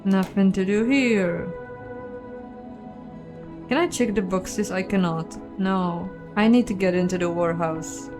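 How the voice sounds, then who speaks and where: A woman's voice: mid-range voice, unhurried, pauses often, music in the background. A woman giving casual playthrough commentary on a game, musing aloud and questioning herself. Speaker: game streamer and narrator.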